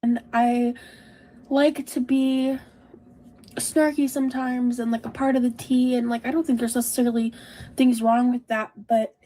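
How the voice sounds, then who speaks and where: A woman with a fairly high voice and a very soft, mellow tone speaks in a personal confessional monologue. She is self-reflective and halting as she works through her thoughts.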